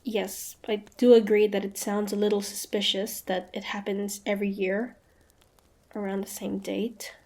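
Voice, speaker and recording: A woman's voice: medium pitch, level tone, normal speed, darkish tone, close to an everyday voice. A woman playing a proud, suspicious young female hunter in a fantasy audio roleplay, guarded and easily flustered, with a grudging tsundere streak. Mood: doubtful, shaken.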